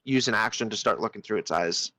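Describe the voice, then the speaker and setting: A man's voice: lowish voice, brisk, sharp tone, dry recording. A man talking casually as a player in a tabletop game.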